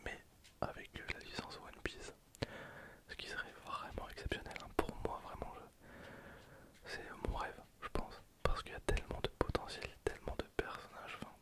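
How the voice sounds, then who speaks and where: A person whispering casual, slangy ASMR commentary over a video game, in breathy, hesitant phrases that trail off. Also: normal speed. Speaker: host of an ASMR gameplay channel.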